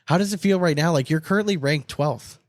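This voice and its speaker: A man's voice: on the high side, fairly quick, no room sound, studio quality. A man hosting a podcast in casual, slangy, sweary conversation. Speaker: podcast host. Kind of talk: casual chat interview.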